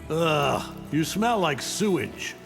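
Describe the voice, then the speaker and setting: A man's voice: fairly high pitch, very animated, slow, creaky, exaggerated voice. A man playing an arrogant, stern middle-aged alien warlord in a video game, haughty, taunting and menacing. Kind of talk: video game fight-intro acting. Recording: loud music behind the voice.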